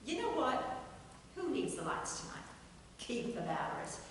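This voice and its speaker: A woman's voice: fairly high pitch, very animated, a little slow, slight background noise. A woman reading the part of a stern but teasing grandmother in a table reading, firm and scolding. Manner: firm and scolding, with playful laughs. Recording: echoey hall, far from the mic.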